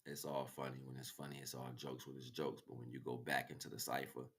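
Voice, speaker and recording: A man's voice: rumbling low, level tone, fast, breathy edge. An American man livestreaming a freestyle spiritual monologue in a rhythmic, rhyming, slangy way. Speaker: livestream host.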